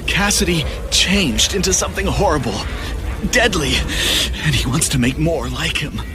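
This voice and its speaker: A man's voice: a little high, expressive, normal speed, lightly acted. A man playing an injured, anxious corporate scientist in a video game, hesitant and pained as he explains. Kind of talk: video game cutscene acting. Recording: loud music behind the voice.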